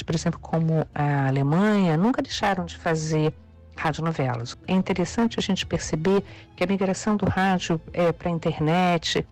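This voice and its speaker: An interviewed expert explaining in a thoughtful way, pausing often with fillers. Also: medium pitch.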